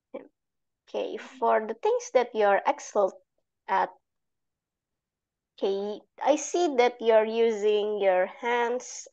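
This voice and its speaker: A young woman with an Indonesian accent giving halting, simply phrased speech feedback as an evaluator on a video call. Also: medium pitch, a little mellow, lo-fi sound. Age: young adult. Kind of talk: spoken speech feedback at a club meeting.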